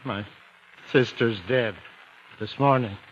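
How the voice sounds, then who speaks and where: An actor playing a haunted, guilt-ridden old man turning vengeful in a vintage radio drama, halting and intense. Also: medium pitch, close to an everyday voice. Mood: numb, brooding. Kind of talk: 1940s-50s radio acting. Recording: dull vintage radio recording, faint noise.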